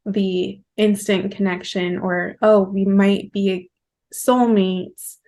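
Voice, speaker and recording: A woman co-hosting a casual book discussion, laying out her points in a measured, explanatory way. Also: mid-range voice, level tone, a little mellow. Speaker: book review show co-host.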